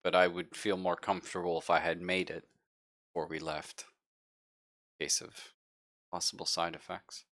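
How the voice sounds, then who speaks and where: A man playing an anxious, apologetic ship's doctor in an improvised tabletop roleplay, speaking haltingly and carefully. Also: low voice, flat delivery, a bit bright, dry recording, clean studio sound. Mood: uneasy, explanatory.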